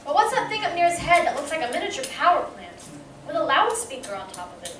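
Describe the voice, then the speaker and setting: A curious young woman in a 1940s radio serial, played by an actress, questioning and a bit skeptical. Her voice sits high and sounds distant in a roomy, echoing space. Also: big pitch swings, quick pace, a bit bright. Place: community playhouse stage reading. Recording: distant mic, echoey room, faint noise.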